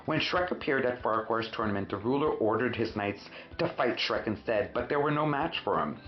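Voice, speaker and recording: A man reading a children's storybook expressively, with dramatic emphasis and drawn-out words. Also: mid-range voice, expressive. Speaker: storyteller, host of a read-aloud show. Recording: roomy, muffled sound with faint music.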